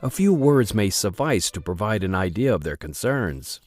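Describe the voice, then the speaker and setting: A man narrating a period radio drama in a florid, expressive storytelling manner. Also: on the low side, moderate pace, dry recording, faint music behind.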